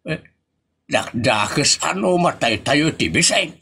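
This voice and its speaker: A man's voice: a little high, lively intonation, a little slow, gravelly, bright, forceful tone, slight background noise, heavily acted. A man playing a stingy, grumbling old husband with an Ilocano accent in a comedy audio drama. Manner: complaining, exclamatory.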